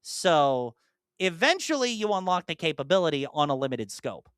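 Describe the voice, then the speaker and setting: Bright, smooth-voiced man chatting casually. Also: on the high side, moderate pace, no echo, clean studio sound. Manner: rambling, many fillers and restarts; animated, jumps into mock-exasperated asides. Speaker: podcast co-host and streamer. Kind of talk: casual podcast chat.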